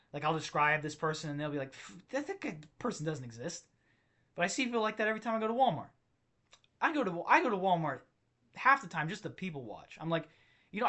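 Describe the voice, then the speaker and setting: A man hosting a solo comedy podcast and ranting in a rambling, excitable way that keeps interrupting itself. His voice is tired and strained. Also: high voice. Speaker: podcast host.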